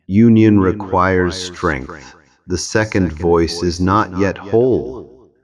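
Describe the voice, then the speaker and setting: A man voicing an ancient, layered temple voice that speaks in solemn, measured pronouncements. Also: low-pitched, crowd noise. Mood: stern. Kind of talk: audio drama.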